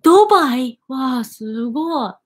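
A woman's voice: sits high, expressive, brisk. A young Japanese woman in her twenties with a Japanese accent, chatting on a live stream from a small room and cheerfully reacting to viewer comments. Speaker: YouTuber. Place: small indoor room with wooden cabinets.

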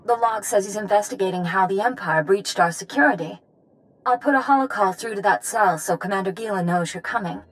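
A woman voicing a harried, earnest young prison assistant in a video game, flustered and halting. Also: mid-range voice, close to an everyday voice, poor audio. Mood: relieved, hurried.

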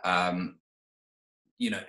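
A man's voice: extremely slow, bright, forceful tone, reverberant. A man in his thirties, a guest on an interview, talking casually about his renovation company with many fillers and restarts, speaking over a video call from his home. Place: home room, indoors.

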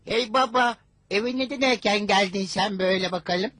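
A man's voice: high-pitched, moderate pace, bright, forceful tone, no echo. A man playing a lazy, wisecracking schoolboy in a dubbed cartoon, wry and a little whiny. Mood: cheeky.

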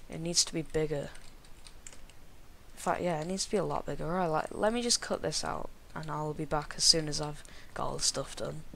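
A man narrating his own gameplay in a casual, mumbling way, sounding croaky from a cold and talking to the animals on screen. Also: fairly high pitch, steady tone, fairly bright tone, a little hiss or hum. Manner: slow, mumbling, talks to the animals. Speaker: gaming video creator.

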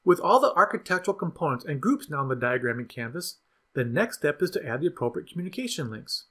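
A man voices a scripted product tutorial with a slightly raised pitch and a husky edge. His delivery is measured and instructional, with lively pitch movement that keeps each step clear. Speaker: product demo narrator. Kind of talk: scripted software tutorial voice-over.

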